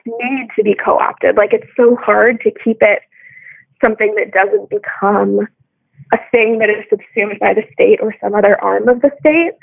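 A woman's voice: fairly high pitch, gravelly, husky, no room sound. An American woman talking casually and reflectively in a discussion, informal and full of conversational hedging words, over a phone-like connection. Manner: informal, peppered with "like" and "you know". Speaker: podcast discussion participant. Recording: thin, dry phone-line audio.

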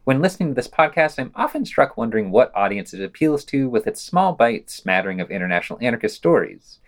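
A man's voice: medium pitch, sing-song, quick pace. A man reading scripted podcast narration as host, with a wry, opinionated manner.

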